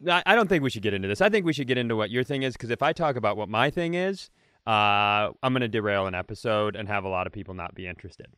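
A man co-hosting a comedy podcast, rambling deadpan through offbeat questions in casual chat. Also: fairly low pitch, fast, clear, resonant tone, no room sound, clean studio sound. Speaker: podcast co-host.

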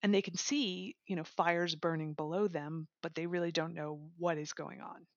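A woman's voice: lowish voice, steady tone, normal speed, no room sound. A woman reviewer in casual chat, summarizing a book enthusiastically and laughing now and then. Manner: enthusiastic, chatty, laughs while talking. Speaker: audiobook reviewer, guest. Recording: dry, slightly dull lo-fi sound.

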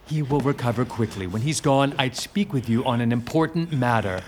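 An actor playing a scheming, two-faced soldier villain in a Shakespearean tragedy, speaking in an insinuating, urgent, theatrical manner. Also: male voice, mid-range voice, expressive, normal speed, a little mellow, a little hiss or hum, natural voice. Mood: urgent, commanding. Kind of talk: Shakespearean tragedy acting in modernized English.

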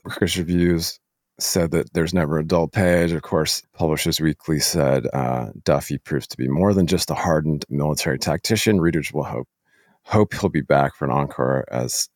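A man hosting a casual podcast interview, asking meandering questions in a halting way. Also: a bass voice, no echo, clean studio sound.

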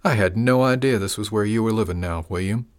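A man's voice: lowish voice, a little fast, clean tone, natural voice. A man voicing a falsely friendly male gang boss who drawls with oily, mock-wounded charm. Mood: smarmy menacing.

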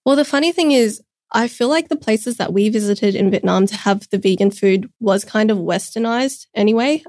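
A woman's voice: medium pitch, moderate pace, darkish tone, no room sound, studio quality. A young woman chatting upbeat as a podcast guest, with many casual fillers. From Australia. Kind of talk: casual interview chat.

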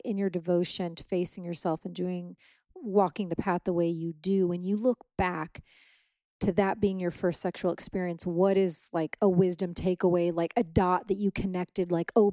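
A woman's voice: fairly low pitch, warm tone, no room sound. A woman interviewing a guest, asking probing questions and searching for her words. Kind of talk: conversational interview.

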